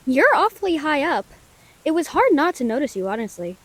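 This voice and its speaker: High, lilting female lightning spirit, acted by a woman. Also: very animated, fairly quick, fairly bright tone. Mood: friendly, playful. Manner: formal, quaint wording, earnest and thoughtful. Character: friendly, curious, caring female lightning elemental spirit. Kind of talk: scripted audio roleplay, gentle comforting monologue. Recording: rain behind the voice.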